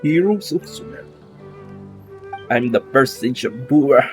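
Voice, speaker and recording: A man commentates casually as he streams a game. He speaks slowly in short, halting phrases, with lively swings in pitch. Faint music plays behind him. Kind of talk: casual live gameplay commentary.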